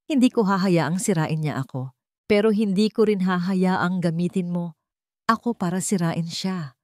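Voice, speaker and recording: Woman reader doing a wounded young rich girl, firm and defiant, low-ish voice, audio story drama. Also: darkish tone, dry recording, studio quality, natural voice. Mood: cold resolve. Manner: measured, firm declarations. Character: wounded but resolute young heiress, quietly defiant.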